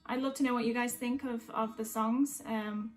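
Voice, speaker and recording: A woman's voice: a little high, steady tone, moderate pace, faint music behind. A woman from North West England, a singer-songwriter talking casually and reflectively. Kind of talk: casual chat between songs.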